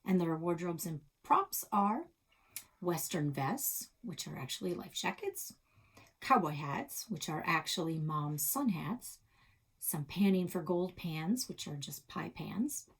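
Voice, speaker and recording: A woman reading a children's novel aloud as a storytelling host, with expressive delivery. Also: fairly low pitch, takes it slowly, husky. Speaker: library read-aloud host.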